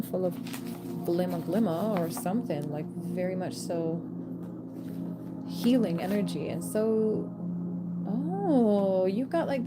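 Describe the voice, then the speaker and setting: Chatty woman over loud music. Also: medium pitch. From American. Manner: chatty, laughing asides, self-interrupting. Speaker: tarot reader. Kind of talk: intuitive reading to camera.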